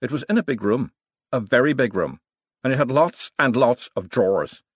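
A man's voice: medium pitch, expressive, slightly rough, no room sound, studio quality. A man voicing a blunt, determined, short-tempered woman in an audiobook. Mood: impatient, assertive. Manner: blunt, brisk, assertive.

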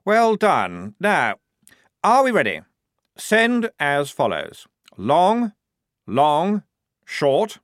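A man's voice: fairly high pitch, huge pitch swings, extremely slow, pauses often, fairly bright tone, no echo, studio quality, exaggerated voice. A man reading a story, voicing a pompous, fussy young male lieutenant in a lofty, overly precise manner.

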